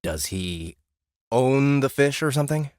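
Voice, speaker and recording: A male narrator voicing a sarcastic young man, with a lively delivery. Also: medium pitch, unhurried, dry recording, clean studio sound, pitched above the performer's own voice. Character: sarcastic young man pulled into a game world.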